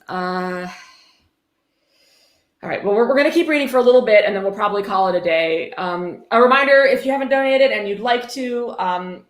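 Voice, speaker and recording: Wry woman in an echoey room. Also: medium pitch. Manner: wry, sardonic, casually sweary. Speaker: host of a live-streamed reading. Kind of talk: casual commentary while reading aloud. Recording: roomy, echoey sound.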